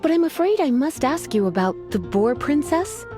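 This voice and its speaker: A woman's voice: high-pitched, very animated, moderate pace, music in the background. A sultry, teasing witch librarian.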